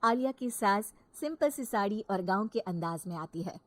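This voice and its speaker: A woman's voice: medium pitch, lively intonation, moderate pace, no room sound. A woman narrating a scripted moral story as the storyteller.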